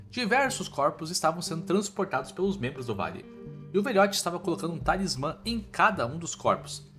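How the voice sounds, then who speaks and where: A Brazilian man reads an informal scripted story recap. His voice is bright and slightly high, with very lively swings in intonation. Soft music plays behind him. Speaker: recap narrator.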